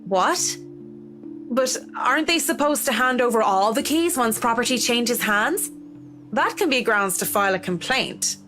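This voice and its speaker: A courteous young interior designer in a visual novel game. Her voice is a little high, with music playing behind it. She comes across as earnest and principled. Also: expressive, measured pace, sharp tone.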